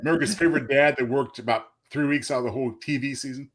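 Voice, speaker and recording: A man with a mid-range, slightly husky voice co-hosts a live web show. He speaks in a casual, conversational way. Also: medium pitch, rapid-fire. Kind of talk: casual chat.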